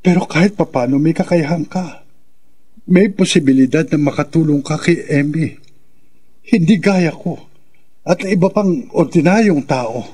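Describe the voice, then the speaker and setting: A man acting a desperate husband of a possessed wife, pleading earnestly with a husky voice in a radio drama. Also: a little high, normal speed, mellow.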